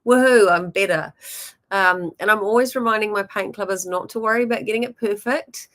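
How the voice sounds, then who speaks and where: A woman with a New Zealand accent teaches painting in a loose, chatty way. She encourages her viewers and fills her talk with ums and you-knows. Her voice sits at a middle pitch for a woman. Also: a little fast, a bit bright. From born in Germany, raised in New Zealand.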